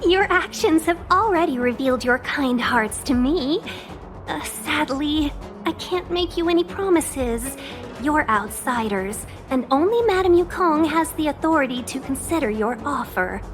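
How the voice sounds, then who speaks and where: A woman's voice: high-pitched, lively intonation, measured pace. A woman playing a courteous, coy young female trade envoy and guide in a video game, speaking politely and formally in honeyed tones. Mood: gracious. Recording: faint music behind the voice.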